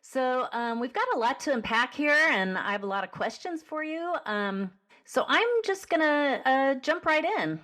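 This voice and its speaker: A woman's voice: high voice, animated, normal speed, fairly bright tone. A woman hosting a podcast, upbeat and curious, asking her guest questions with frequent fillers. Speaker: podcast host. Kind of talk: podcast interview.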